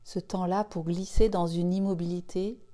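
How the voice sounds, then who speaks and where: A woman leading a guided yoga nidra relaxation, speaking gently and soothingly in short, spaced phrases. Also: lowish voice, steady tone, normal speed. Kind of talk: slow guided relaxation meditation.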